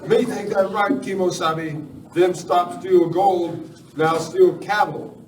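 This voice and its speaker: A man's voice: sits high, steady tone, slow, a crowd in the background. A man playing a stolid, loyal tracker sidekick in an amateur radio western, speaking in clipped broken English. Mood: stolid, agreeing. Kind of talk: amateur live radio play acting. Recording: roomy, distant live recording, faint noise.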